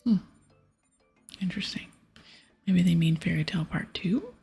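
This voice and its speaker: A woman whispering in a breathy ASMR style as she casually plays through a game, chatting softly and reading dialogue aloud. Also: lowish voice, expressive, moderate pace, faint music behind. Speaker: host of an ASMR gaming series.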